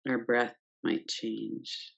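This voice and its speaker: Woman, meditation teacher, gravelly low-pitched voice, calm, gentle, unhurried pauses, unscripted guidance. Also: lo-fi sound. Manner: calm, gentle, pausing between short phrases. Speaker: yoga nidra meditation teacher. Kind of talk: guided meditation, unscripted instruction.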